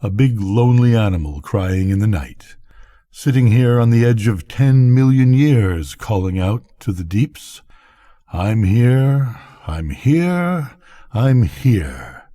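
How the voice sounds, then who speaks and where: A man reading as slow, thoughtful lighthouse keeper. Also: lowish voice, big pitch swings, dark tone, no echo. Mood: lonely, mysterious. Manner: thoughtful, folksy. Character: McDunn, musing lonely lighthouse keeper, mysterious and philosophical. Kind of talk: bedtime storybook reading.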